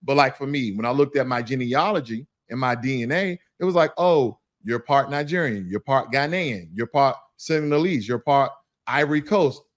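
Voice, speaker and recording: A man's voice: medium pitch, normal speed. Passionate man asking rhetorical questions. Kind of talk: casual discussion.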